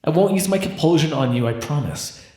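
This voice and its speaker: A man's voice: fairly high pitch, lively intonation, a little fast, dark tone, slightly rough. A man playing an imprisoned minor supervillain with mind powers in an ASMR roleplay, talking straight to the listener in a theatrical, rambling way. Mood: pleading, aggrieved. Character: imprisoned minor supervillain with mind control, chatty, scheming, smug.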